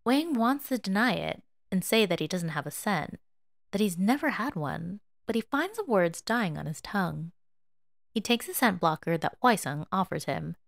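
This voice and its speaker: Expressive woman narrator, animated pitch. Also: moderate pace, creaky, dry recording, clean studio sound. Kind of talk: dramatic story narration.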